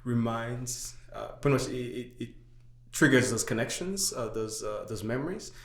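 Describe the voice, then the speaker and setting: A man's voice: mid-range voice, animated, moderate pace. Haitian-accented young man, roomy sound. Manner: explains at length, many "uh" and "right?" fillers. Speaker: podcast guest. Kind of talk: casual chat. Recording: echoey room.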